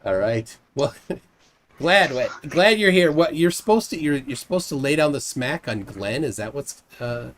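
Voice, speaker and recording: A man's voice: mid-range voice, lively intonation, a little slow, a bit bright, a little hiss or hum. A man with a Minnesotan accent hosting a casual late-night call-in show, rambling and restarting his sentences. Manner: rambling, self-interrupting, chuckling.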